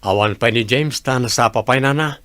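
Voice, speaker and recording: An actor playing a casual, teasing construction worker with an Ilocano accent in a radio comedy-drama. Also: male voice, medium pitch, brisk, bright, forceful tone, dry recording, studio quality, lightly acted. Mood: polite, helpful.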